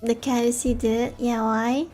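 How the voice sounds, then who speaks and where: A woman in her thirties, a native English and Malay speaker, talks to her stream viewers in a slightly high voice, tired in the small hours. She rambles and rephrases her thoughts in loose, reflective chat. Faint music plays quietly beneath her. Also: level tone, unhurried. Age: 30s. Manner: rambling, reflective, self-correcting. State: tired late at night. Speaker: VTuber streamer. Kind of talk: late-night casual chat with viewers.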